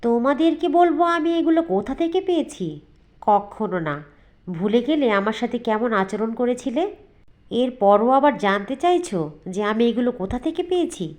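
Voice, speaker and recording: A woman acts as a young, simple village stepdaughter in a storybook cartoon. Her voice is fairly high. She is earnest and plaintive, a wronged girl recounting her life. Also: expressive, normal speed, a little mellow, close to an everyday voice. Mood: defiant. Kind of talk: storybook narration and cartoon dialogue.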